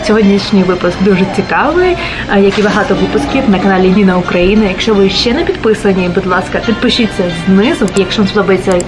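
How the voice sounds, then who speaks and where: Brisk woman hosting a channel. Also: medium pitch, music in the background, rough recording. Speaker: host. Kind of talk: channel intro and street interviews.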